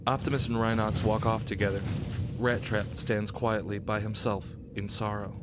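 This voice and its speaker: A man's voice: lowish voice, little pitch movement, normal speed, noisy background. A man narrating a scripted action story in the present tense for an audio drama.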